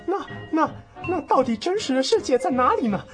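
High-voiced, naive, excitable rookie boy. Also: fairly quick. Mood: upset, searching. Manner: stammering, breathless repetition of words. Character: naive young male cinema-dweller rookie, excitable and wide-eyed. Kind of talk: radio drama acting. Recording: music behind the voice.

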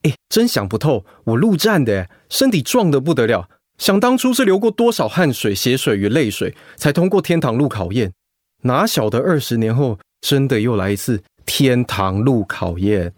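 An actor playing a blunt, sociable middle-aged ex-marine patient in a Mandarin radio drama. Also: male voice, medium pitch, sing-song, moderate pace, no room sound, clean studio sound. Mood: wry, rueful.

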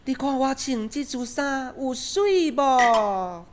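A woman playing a coy, vain young lady of a wealthy house, with drawn-out, theatrical Taiwanese opera delivery. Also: high voice, lively intonation, noisy background. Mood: coy, delighted. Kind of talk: Taiwanese opera radio drama acting.

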